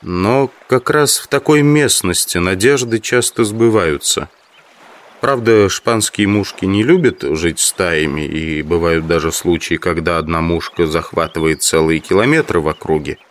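A brooding entomologist thinks aloud in an audio drama. His voice is fairly low, and he muses in an obsessive, reflective way, as if arguing with himself. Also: unhurried. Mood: instructive, determined. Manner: reflective, musing. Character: brooding, obsessive male insect collector lost in thought. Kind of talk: dramatised inner monologue.

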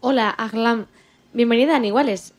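A woman's voice: on the high side, brisk, soft background music. A woman co-presenting a radio show and interviewing from prepared questions.

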